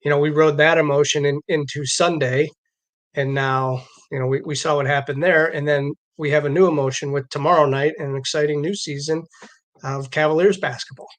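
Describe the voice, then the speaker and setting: A man with a slightly high voice co-hosts a casual sports talk show. His delivery is conversational and halting, often doubling back on his words. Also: steady tone. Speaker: show owner and co-host.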